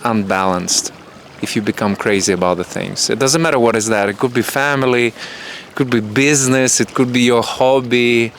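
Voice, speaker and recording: Male guest, Russian/Ukrainian native, expressive and lively, medium pitch, light noise floor. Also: moderate pace. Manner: animated, acts out voices and jumps up in pitch. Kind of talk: casual conversation.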